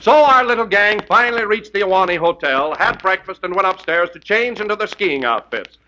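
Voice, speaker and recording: A man's voice: high voice, animated, a little fast, sharp tone. A man playing a helpful announcer sidekick in a 1940s radio comedy show. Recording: noisy, muffled old radio broadcast.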